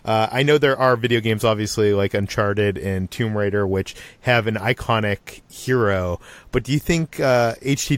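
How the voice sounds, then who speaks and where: A man's voice: medium pitch, dry recording. Male podcast host, close dry sound. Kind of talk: casual film discussion.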